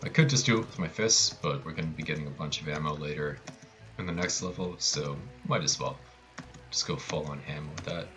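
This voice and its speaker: A man's voice: rumbling low, fairly quick, music in the background. A man giving casual live commentary on his own video game speedrun, with playful, joking asides.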